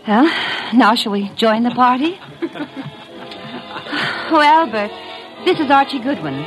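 An actress playing a charming but nervous society wife and hostess in an old radio drama, coy and polite. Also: female voice, fairly high pitch, lively intonation, a little slow, faint music behind, low-quality recording. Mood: bright hostess cheer.

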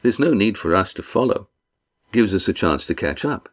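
A man's voice: lowish voice, animated, normal speed, husky. A male narrator voicing a proud, injured sailing master who talks in clipped, curt replies. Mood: terse.

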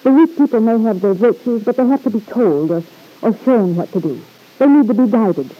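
A woman's voice: a little high, expressive, a little fast. An actress playing a proud, hard-edged young married woman in an old-time radio drama, with cool, sardonic retorts. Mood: hard, dismissive. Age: young adult. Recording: dull, lo-fi vintage radio recording.

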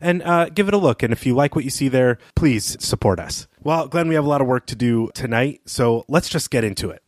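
A man's voice: medium pitch, animated, fairly quick, dry recording, clean studio sound. A man co-hosting a podcast, talking casually and discursively about books. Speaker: podcast co-host.

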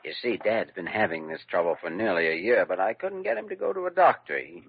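A man's voice: deep voice, quick pace, gravelly, slight background noise, exaggerated voice. A man playing an earnest young doctor who teases his older colleague, in a 1940s radio drama. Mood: innocent act. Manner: earnest, teasing, animated. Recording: lo-fi old radio broadcast.

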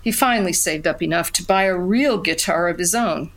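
A woman's voice: on the low side, fairly quick. An actress playing a wistful narrator who recalls her childhood in a folksy storytelling style for an audio drama. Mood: fond. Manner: reminiscing, folksy.

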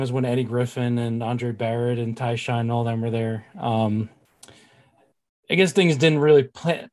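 A man co-hosting a sports podcast in a casual, opinionated fan style. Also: on the high side.

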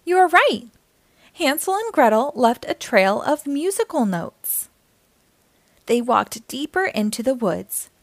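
A woman hosting a children's storytime, reading aloud in an animated, encouraging way and speaking directly to young listeners. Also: high voice, takes it slowly, no room sound.

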